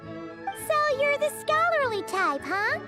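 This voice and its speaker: A woman voicing a small, chirpy, childlike fairy companion in a video game, perky and quick to chime in. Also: very high voice, very animated, over music, heavily acted. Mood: perky, curious. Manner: refers to self in third person, perky.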